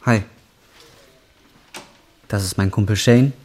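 A man's voice: lowish voice, slow, darkish tone. An actor in his twenties playing a desperate young man burdened by guilt, blunt and brooding, in a naturalistic radio drama. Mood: brooding, tense. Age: 20s. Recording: clearly noisy ambience with vehicle sounds.

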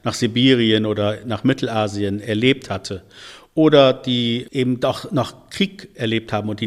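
Thoughtful man explaining, softly trailing off. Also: medium pitch. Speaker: church official interviewed. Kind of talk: interview answers.

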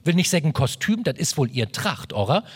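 A man radio host with a Low German accent, interviewing a guest in a teasing way. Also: fairly quick, slightly rough. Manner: lively, teasing questions.

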